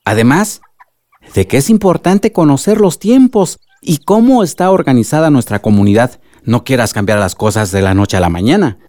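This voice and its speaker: An actor playing a wise, loving elderly village grandfather in a radio drama, with a Mexican accent and a measured, reflective way of advising. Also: medium pitch, lively intonation, darkish tone, no room sound, studio quality, lightly acted. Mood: grave, advising. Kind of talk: Spanish-language radio drama acting.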